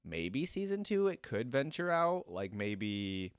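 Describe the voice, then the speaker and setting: A man's voice: medium pitch, sing-song, slow, smooth, no echo, studio quality. A man giving casual reaction commentary as the host of a video.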